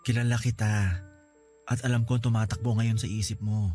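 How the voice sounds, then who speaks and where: A man's voice: on the low side, steady tone, fairly quick, warm tone, faint music behind, natural voice. Man acting as a tender, forgiving young sweetheart. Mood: reassuring. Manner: tender, earnest, reassuring. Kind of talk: classic radio-drama acting.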